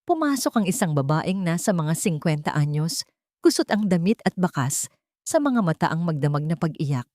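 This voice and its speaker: A woman's voice: lowish voice, a little mellow, no echo, clean studio sound. A woman reading scripted, dramatic story narration.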